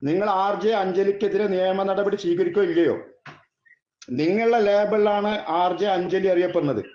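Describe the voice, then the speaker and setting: A man's voice: sits high, normal speed, slight background noise. A man with a northern Kerala accent on a confrontational phone call, indignant and insistent as he fires questions. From Edappal, Kerala. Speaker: caller making a complaint. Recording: echoey, muffled phone call recording.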